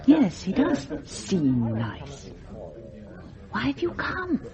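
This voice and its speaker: A woman's voice: medium pitch, huge pitch swings, very slow, a crowd in the background, exaggerated voice. An actress playing a brittle, sardonic middle-aged society wife and mother in a radio drama, arch and drawling.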